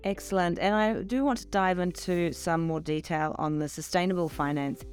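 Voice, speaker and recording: Low-pitched woman hosting an interview. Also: fairly even intonation, normal speed, darkish tone, soft background music. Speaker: interview host. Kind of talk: introducing and asking interview questions.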